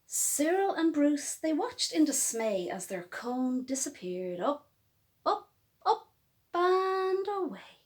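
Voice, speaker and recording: A woman reading a rhyming picture book aloud to children, expressive and dramatic, with emphatic pauses and drawn-out words. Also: high voice. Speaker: children's storytime reader.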